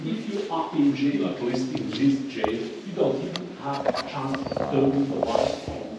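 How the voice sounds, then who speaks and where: A man's voice: mid-range voice, lively intonation, a little slow. A man from Italy with an Italian accent, guiding a group through a former prison and explaining things directly. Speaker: tour guide. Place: former prison, partly outdoors. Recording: echoey, distant, noisy.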